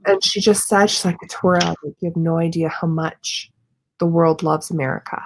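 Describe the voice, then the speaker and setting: Woman with a lowish voice, earnest and opinionated, casual chat. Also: steady tone, moderate pace, noisy background.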